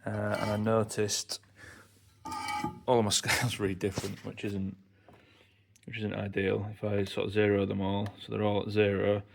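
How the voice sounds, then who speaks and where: A man's voice: on the low side, monotone, normal speed, vocal fry, background noise. A man giving a casual, understated vlog debrief in a somewhat hesitant way. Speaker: vlogger, amateur racing driver.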